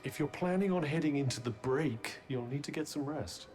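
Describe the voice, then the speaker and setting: An actor playing a dry, archly teasing magical companion in a video game, with an arch, lilting delivery. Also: male voice, a little high, normal speed, a little mellow, natural voice. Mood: dry, advising. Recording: game music behind the voice.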